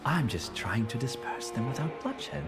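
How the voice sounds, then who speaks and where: An actor playing a menacing, smooth-talking police chief in a dramatic video game, speaking in a formal, taunting, measured way. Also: male voice, mid-range voice, big pitch swings. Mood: stern, composed. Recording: loud music under the voice.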